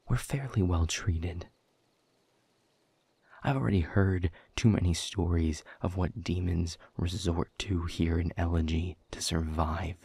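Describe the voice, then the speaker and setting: A weary, guarded demon captive from an audio drama, acted by a man, with a very low, husky voice that often sinks toward a whisper. He is bitter and quietly defiant, choosing his words slowly and with sardonic formality. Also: no room sound, exaggerated voice. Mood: weary, resolute. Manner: measured, deliberate, sardonic, formal phrasing. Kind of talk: scripted audio roleplay monologue.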